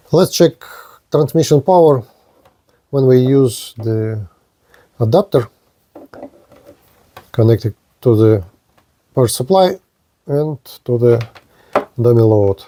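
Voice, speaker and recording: A man giving a hands-on demonstration of gear as a product reviewer, speaking in short, halting phrases. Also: medium pitch, dark tone, dry recording.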